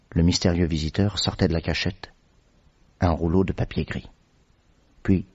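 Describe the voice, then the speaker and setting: An actor playing Doctor Watson, a loyal companion who narrates in a measured storytelling manner in a radio drama. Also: male voice, low voice, a little mellow, slightly rough, muffled recording.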